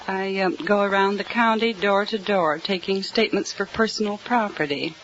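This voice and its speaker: A woman's voice: mid-range voice, moderate pace, a bit bright, muffled recording, a little hiss or hum. A woman quiz contestant giving short, matter-of-fact answers and playing along in a skit. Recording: old 1940s radio broadcast with studio audience.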